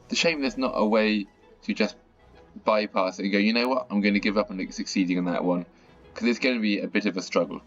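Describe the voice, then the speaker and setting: A man with a low, croaky voice from a sore throat talks through a game, chatty and now and then mildly exasperated. The audio is a bit dull and lo-fi, with faint music underneath. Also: fairly even intonation, fast talker, a bit bright. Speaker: gaming channel host. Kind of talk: casual gameplay commentary.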